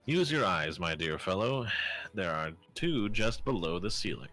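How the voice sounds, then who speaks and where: A man voicing a pompous, boastful gentleman inventor in a fan dub of a game, speaking in grand, theatrical period phrasing. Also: low voice, very animated, moderate pace, faint music behind. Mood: grandiose.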